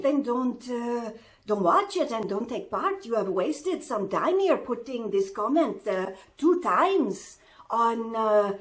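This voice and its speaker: A woman voicing a chatty, good-natured fashion sidekick, speaking haltingly with singsong phrasing. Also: fairly high pitch. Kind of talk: playful in-character vlog chat.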